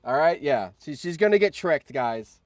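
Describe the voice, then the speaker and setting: A man hosting a live stream, reading out game events and reacting in an animated, exclamatory way with repeated phrases. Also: on the high side, moderate pace, no echo.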